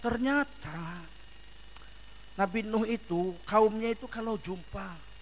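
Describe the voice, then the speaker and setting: A man's voice: sits high, slow, phone-quality sound, slight background noise. A man preaching a sermon in a large mosque, emphatic and firing rhetorical questions at the congregation.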